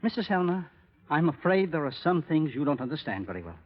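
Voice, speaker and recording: An actor playing a desperate, menacing moneylender in a radio drama, insistent and formal, with coolly insinuating delivery. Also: male voice, a little high, very animated, a little fast. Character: desperate, menacing male moneylender and bank clerk with children. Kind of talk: 1930s radio drama acting. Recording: muffled, hissy old radio recording.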